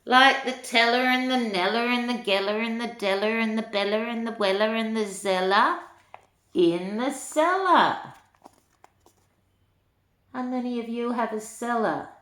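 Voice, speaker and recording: Older woman reading slowly, echoey room. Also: fairly high pitch, fairly bright tone. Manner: unhurried, lilting, talking to young children. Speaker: grandmother reading a storybook to children. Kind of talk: read-aloud children's rhyming book with chatty asides.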